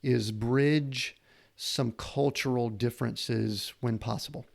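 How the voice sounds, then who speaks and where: A middle-aged male host with a gravelly, fry-edged voice at an ordinary pitch. He talks in an earnest, meandering way, circling toward his questions. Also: fairly even intonation, slow. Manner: rambling, earnest, searches for words. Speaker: podcast host. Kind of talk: conversational interview.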